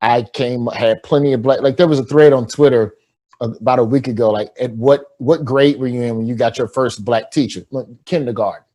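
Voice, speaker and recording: A comedian from talks at an ordinary middle pitch. He tells stories in a wry, loose way. His punchlines climb and get animated. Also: fairly quick. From Alabama, US. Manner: wry, animated joke-telling with rising emphasis. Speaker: comedian guest. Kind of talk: casual chat with comic storytelling.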